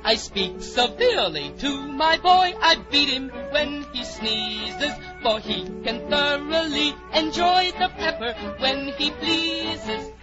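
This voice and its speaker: An actress playing a harsh, gleeful Duchess, singing a mock lullaby in theatrical 1940s radio style. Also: female voice, sits high, lively intonation, slow pace, hardly any pauses. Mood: gleefully harsh. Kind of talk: 1940s radio musical number. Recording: muffled old radio recording under loud music.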